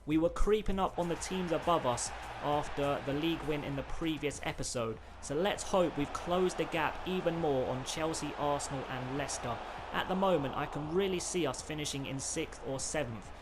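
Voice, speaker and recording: A man, who has a cold, doing excited play-by-play commentary over a football video game. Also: fairly high pitch, normal speed, few pauses, over music. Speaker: gaming YouTuber.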